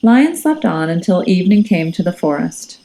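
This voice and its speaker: Mellow woman narrating a children's storybook. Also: on the low side. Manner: measured, expressive, suspenseful. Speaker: teacher reading aloud. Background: music and nature sounds.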